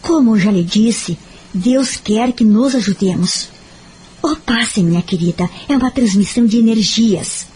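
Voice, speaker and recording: A kindly, devout woman friend in an audio novela, voiced by an actress. Her voice sits a little high with a slightly husky edge, and she explains things gently and reassuringly. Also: exaggerated voice, poor audio. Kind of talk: audio drama acting.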